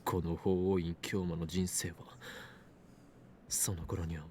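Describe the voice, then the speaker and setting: A man's voice: deep voice, little pitch movement, normal speed, warm tone, slight background noise, close to an everyday voice. A man playing a grandiose young self-styled mad scientist in an anime visual novel, pompous and theatrical in delivery. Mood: brooding, subdued. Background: game music.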